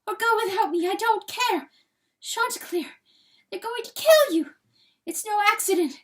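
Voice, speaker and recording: Woman playing a shrill, desperate hen. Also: moderate pace, recorded from a distance. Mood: desperate pleading. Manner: high, pleading, wailing. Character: desperate frightened female hen.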